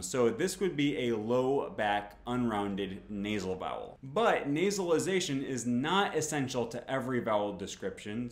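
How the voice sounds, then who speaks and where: A man in his thirties speaks at a moderate, middling pitch, teaching to camera with a clear, patient, explanatory manner. His voice carries a noticeable echo from the small home room he records in. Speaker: language teacher.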